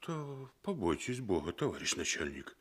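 A man's voice: low-pitched, very animated, normal speed, husky, exaggerated voice. An actor playing a wheedling, folksy policeman in a comic radio play, rustic and pleading in manner. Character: wheedling, folksy male policeman fond of moonshine.

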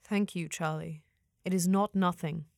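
A woman playing a calm, knowledgeable fantasy companion in an audio drama, with a formal, measured and gentle manner. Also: on the low side, gravelly, no room sound, clean studio sound. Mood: sincere. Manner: formal, measured, avoids contractions.

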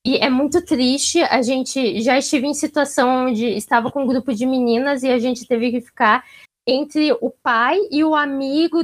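A woman from southern Brazil with a southern Brazilian accent, speaking casually and reflectively as a panel guest. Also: sits high. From Curitiba, southern Brazil.

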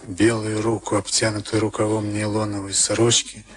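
An actor playing a haunted former camp prisoner turned boxer, slow and brooding in a Soviet radio play. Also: male voice, fairly low pitch, level tone, heavy background noise, close to an everyday voice. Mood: dazed, urgent. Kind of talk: Soviet radio play acting, dramatic monologue and dialogue. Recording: archival radio play, loud music underneath. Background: music.

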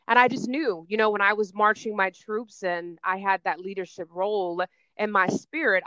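A woman's voice: mid-range voice, a bit bright, clear, resonant tone, dry recording, muffled recording. A woman guest talking about her career in an emphatic, self-assured way in a podcast interview. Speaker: guest, fitness and wellness coach. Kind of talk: energetic interview answers.

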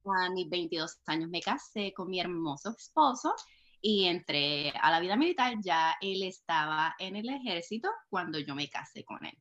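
Puerto Rican woman in her thirties, bright voice, slightly muffled lo-fi sound. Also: fairly low pitch, lively intonation, moderate pace. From Puerto Rico. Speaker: podcast guest.

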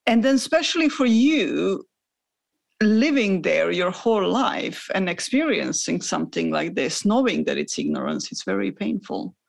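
A woman with a gravelly, fry-edged voice, talking in a casual panel discussion. She speaks animatedly but hesitantly, restarting sentences as she goes. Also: mid-range voice, wildly swooping pitch, moderate pace.

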